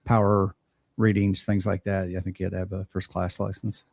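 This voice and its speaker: An older man chatting on a ham radio show, halting and repeating words as he thinks. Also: low-pitched, little pitch movement, vocal fry, no echo, studio quality. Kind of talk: casual chat.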